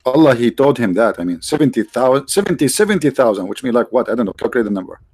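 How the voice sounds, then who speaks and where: A man's voice: mid-range voice, lively intonation, a little mellow, slightly rough, no room sound. Sarcastic man on a dry close mic. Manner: sarcastic, mocking, halting with many restarts. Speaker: livestream host. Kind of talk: casual livestream monologue.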